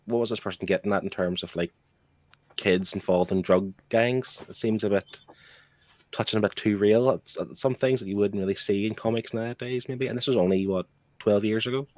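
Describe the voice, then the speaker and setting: Male podcast host, lowish register, very dry sound, questioning and insistent in casual discussion. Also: fairly even intonation, fairly quick, darkish tone, no room sound. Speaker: podcast book club host. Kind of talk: casual comic book discussion.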